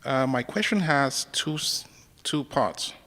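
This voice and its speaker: A man from Cameroon in the audience, asking his question softly and hesitantly. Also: medium pitch, lively intonation, slow, in a large hall. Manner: soft-spoken, hesitant.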